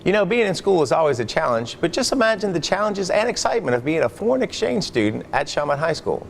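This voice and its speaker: A man's voice: fairly high pitch, sing-song, fast talker, creaky, soft background music. A man hosting a local school news program, reading scripted narration. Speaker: program host.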